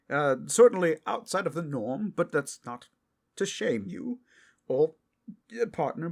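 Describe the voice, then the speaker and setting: A man as an awkward, earnest superhero, husky and lilting. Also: on the high side, voice pushed up, full character voice. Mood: tactful. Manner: hesitant, stammering, reasonable. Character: awkward, earnest, well-meaning male superhero. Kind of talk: audio roleplay acting.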